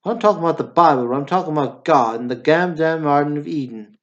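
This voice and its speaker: A man's voice: a little high, quick pace, muffled recording, natural voice. Guy playing a hot headed older brother in a radio play, war vet, sweary and preachy, all bluster. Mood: preachy indignation. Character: hot-tempered indignant older brother, a swaggering war veteran.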